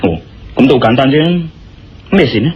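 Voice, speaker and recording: An actor playing a casual, curious, impatient adventurer in a radio drama, speaking with a Hong Kong Cantonese accent. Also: male voice, mid-range voice, lively intonation, moderate pace, a little mellow, husky. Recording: dull, muffled radio-style recording. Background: background music.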